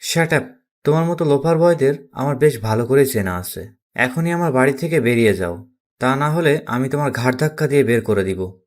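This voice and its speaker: A man acts out a stern middle-aged father in an audio drama. He speaks at a medium pitch, harsh and contemptuous, scolding angrily. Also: lively intonation, normal speed, lightly acted. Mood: angry. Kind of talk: audio story acting.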